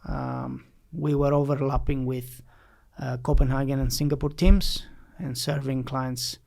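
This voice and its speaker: A man with a Greek accent talks about his work as an interview guest. His voice is creaky and mid-pitched. He speaks haltingly, filling the gaps as he searches for phrasing. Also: fairly even intonation, darkish tone. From Greece. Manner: halting, hesitant phrasing with frequent fillers. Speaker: podcast guest, finance company director. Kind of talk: interview answers recounting a career.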